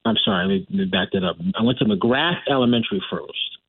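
A man's voice: on the low side, big pitch swings, fast, vocal fry, breathy edge, no echo. A middle-aged man speaking as a radio guest over the phone, talking casually, often restarting and correcting himself. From United States. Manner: rambling with restarts and self-corrections. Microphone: telephone. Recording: dry, tinny phone line.

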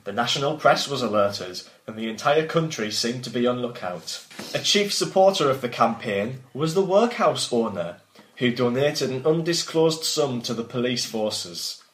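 A man reads his own story aloud with a medium-pitched voice in an echoey room. He slips between narration and banter with the others. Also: expressive, moderate pace. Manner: breaks off from reading to banter. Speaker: podcast host and author reading own work. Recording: roomy echoing sound.

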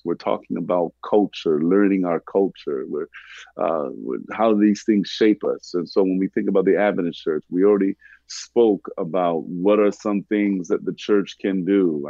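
A man's voice: fairly low pitch, vocal fry, mellow, no echo, highs cut off. A man hosting a church panel discussion, earnest, asking long winding questions. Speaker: host.

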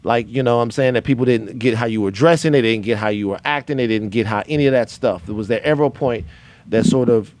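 A man hosting a casual interview, talking in an animated, excitable and joking way. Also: medium pitch, fast, no echo. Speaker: host.

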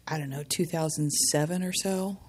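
A woman's voice: low-pitched, fairly even intonation, moderate pace, a little mellow. A woman joining a casual podcast conversation, chatty and reminiscing.

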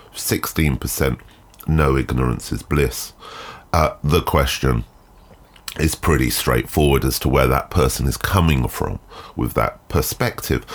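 Very deep-voiced man with a head cold. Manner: thoughtful, measured, with frequent ums and uhs. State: congested with a cold, nasal. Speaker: podcast host; photography lecturer and photographer. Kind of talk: solo podcast monologue. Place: garden shed.

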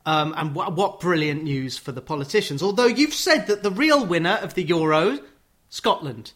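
A man's voice: fairly high pitch, expressive, moderate pace, a bit bright. Wry male radio host. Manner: wry, jokey asides. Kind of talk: conversational interview.

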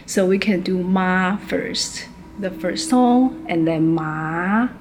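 A woman professor speaks very slowly and deliberately, like a teacher showing each sound. Her voice sits a little low, with a light hiss of background noise around her. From Taiwan. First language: Mandarin. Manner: slow, careful, demonstrative. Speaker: Chinese language professor. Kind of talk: interview, teaching demonstration.

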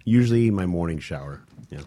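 A man's voice: low voice, lively intonation, brisk, dark tone. A man co-hosting a radio show, chatting casually and joking along a little sheepishly. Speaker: radio co-host. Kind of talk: casual panel chat.

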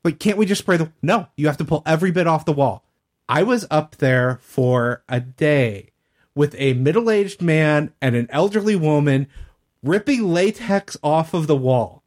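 A man with a voice a little higher than average tells anecdotes to friends. His delivery is casual and conversational, like a podcast regular sharing stories. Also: animated, moderate pace, darkish tone.

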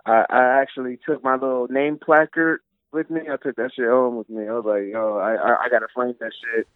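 A man's voice: mid-range voice, brisk, no echo. Easygoing man on a dull phone line. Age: 30s. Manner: relaxed, easygoing storyteller. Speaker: podcast guest on a phone call. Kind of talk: casual phone chat. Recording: dull dry phone-call audio.

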